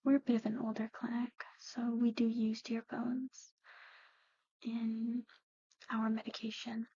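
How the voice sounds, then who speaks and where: A woman doing a whispered ASMR medical roleplay, explaining things gently and hesitantly as a matter-of-fact clinic practitioner. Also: on the high side, completely flat delivery, a little slow, no room sound, highs cut off. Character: soft-spoken, matter-of-fact woman at an eerie old clinic. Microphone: close-up ASMR microphone.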